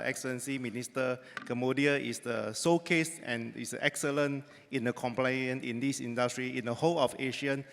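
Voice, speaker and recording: A man's voice: mid-range voice, a bit bright. A man interpreting for a panel speaker, fluent but loosely phrased, with fillers. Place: large conference hall. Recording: reverberant conference hall.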